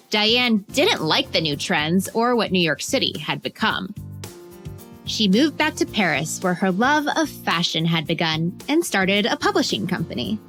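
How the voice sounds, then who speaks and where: Expressive woman storyteller, unhurried. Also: medium pitch. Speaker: narrator and host of a children's biography podcast. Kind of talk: scripted storytelling narration. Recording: music bed behind the voice.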